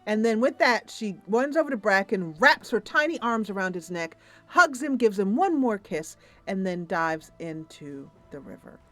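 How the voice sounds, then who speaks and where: A woman streaming a roleplay game, narrating the story and chatting casually with viewers in an animated storytelling manner. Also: medium pitch, normal speed, few pauses, no echo, faint music behind.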